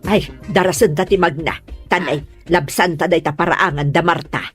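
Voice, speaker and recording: A woman's voice: on the low side, normal speed, faint music behind, natural voice. A woman acting a stubborn, grudge-holding mother of a grown son in an Ilocano comedy drama. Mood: spiteful, gossipy.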